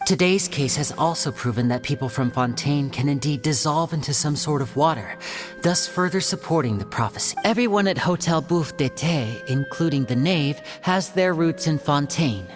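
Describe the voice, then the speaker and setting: An actor playing an earnest, guarded young stage magician in a video game, speaking in a measured, explanatory way. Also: male voice, fairly high pitch, lively intonation, lightly acted. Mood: solemn, resolute. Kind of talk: video game story voice acting. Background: background music.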